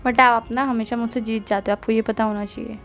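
High-voiced young Indian woman on a call. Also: flat delivery, brisk, a bit bright. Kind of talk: casual intimate phone chat. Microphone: phone call. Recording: phone call recording, faint music.

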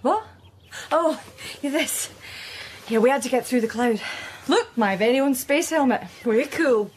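A woman's voice: sits high, animated, unhurried, a little hiss or hum. An actress playing a tender, worried mother in a radio drama. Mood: reassuring.

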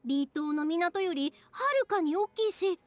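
A woman playing an excitable, kind-hearted young traveller in an anime-style game, with a Kansai Japanese accent. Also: very high-pitched, unhurried, no echo. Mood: excited, amazed. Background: game music.

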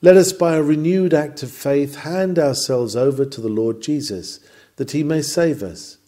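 A man's voice: a little high, normal speed, mellow. A man reading a scripted sermon in an earnest, exhorting, oratorical manner. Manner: earnest, exhorting, rhetorical delivery. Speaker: sermon reader.

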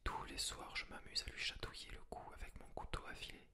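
A reader whispering the lines of a wild, cruel little robber girl from a fairy tale, in the same hushed voice as the narration. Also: fairly quick, lightly acted. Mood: teasing. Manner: whispered throughout. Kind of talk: whispered ASMR storybook reading.

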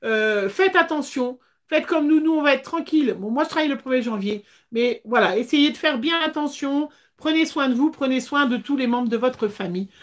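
High-voiced woman chatting. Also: brisk, few pauses. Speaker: craft livestream host. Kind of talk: casual livestream chat. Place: small indoor craft room.

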